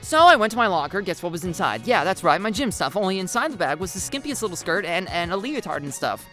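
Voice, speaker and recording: A whiny, indignant teenage cheerleader girl, as a woman narrator plays her, pitched high and swinging widely. She is dramatic and exclamatory, blurting everything out like a story's drama queen. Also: wildly swooping pitch, moderate pace, faint music behind. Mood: frantic, exasperated.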